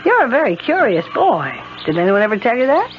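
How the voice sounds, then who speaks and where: An American actress playing a proud, bitter, rich middle-aged widow in a radio drama, speaking with precise, sardonic theatricality. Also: female voice, fairly high pitch, very animated, a little fast, faint music behind. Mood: polite, reserved. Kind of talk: 1970s radio drama acting. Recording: dull, noisy 1970s radio recording.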